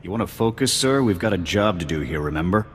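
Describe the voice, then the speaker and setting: A man playing a gruff, disapproving soldier sidekick in a video game, speaking curtly. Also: low-pitched, animated, fast talker, long reverb tail, a little hiss or hum. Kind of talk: scripted game dialogue.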